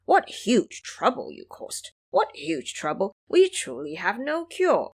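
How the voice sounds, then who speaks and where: Casting: grave elder immortal female performer, astonished, expressive intonation, storybook drama. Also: medium pitch, slow pace, exaggerated voice. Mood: dismayed.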